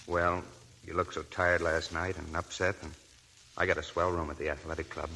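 An actor playing a kind, awkward young army lieutenant in a 1940s radio play, hesitant and stammering. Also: male voice, deep voice, little pitch movement, moderate pace, vocal fry, pushed and bright, a little hiss or hum, exaggerated voice, poor audio. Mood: earnest. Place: radio studio.

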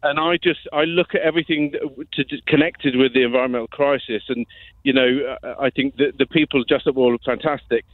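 A man's voice: mid-range voice, fairly even intonation, brisk, no echo. Hesitant man on a tinny phone line. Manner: hesitant, apologetic, repeats himself. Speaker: phone-in caller. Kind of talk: casual, earnest phone-in conversation. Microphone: telephone.

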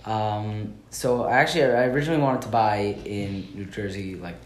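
A man's voice: on the low side, normal speed, a little hiss or hum, natural voice. A young man playing a businesslike buyer who makes a phone deal and haggles, in an amateur school play recorded in an echoey room. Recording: echoey room with music behind.

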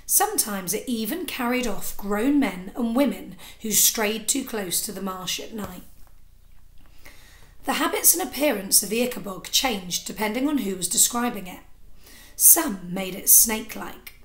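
A woman in her thirties reading a children's story aloud with expressive narration, like a teacher, into a device at arm's length indoors. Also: medium pitch, unhurried. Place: small indoor room with sofa. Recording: echoey room.

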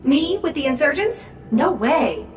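Chatty drone companion, highish female voice, eager, breezy, distant from the mic, game dialogue over music. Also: a little slow, reverberant. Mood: playful evasion. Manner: expressive, evasive, breezy. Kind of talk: video game character acting.

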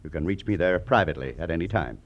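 A brusque guardian, stern and exasperated. His low voice rises and falls widely as he lays down the law. The old radio drama sound is dull. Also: expressive, quick pace. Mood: stern, worried. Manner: brusque, authoritative, precise. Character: stern, exasperated rich uncle and guardian, middle-aged or older. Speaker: supporting actor in a radio drama. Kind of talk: 1950s radio drama acting.

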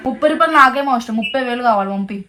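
Young woman on a prank call, fairly high voice, fast and insistent. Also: expressive, loud music behind the voice. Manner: demanding, insistent. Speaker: family vlogger making a prank call. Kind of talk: casual phone chat.

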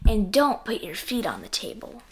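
A woman voicing an excitable, clumsy little boy frog from a storybook, eager and exclaiming. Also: medium pitch, moderate pace, slight background noise. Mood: chirpy.

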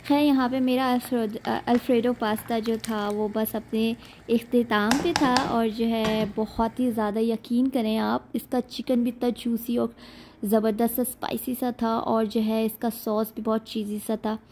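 Young woman, chatty vlog voice-over, filler-heavy, fairly high pitch, faint room hum. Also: level tone, a little slow, a little mellow. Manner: chatty, leans heavily on the filler "aur jo hai". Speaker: lifestyle vlogger. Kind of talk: casual voice-over narration of daily life.

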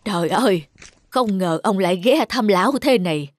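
A woman voicing a poor, humble old man who is a tea connoisseur in a cartoon folktale, speaking in a slow, courteous, old-fashioned way. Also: medium pitch, expressive, lightly acted. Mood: humble polite. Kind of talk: dubbed cartoon folktale acting.

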